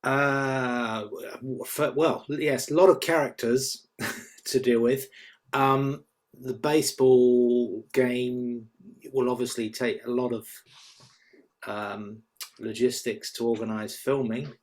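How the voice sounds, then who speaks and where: A man's voice: medium pitch, fairly even intonation, slow. A man giving informal, tentative feedback on a script as a panel reader, hesitating as he goes.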